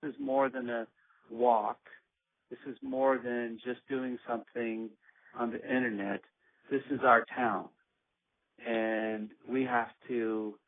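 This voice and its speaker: A man speaking earnestly on a conference call, measured and pausing often with ums as he lays out plans for his organization. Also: mid-range voice. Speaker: organization leader. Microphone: phone. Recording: tinny phone line.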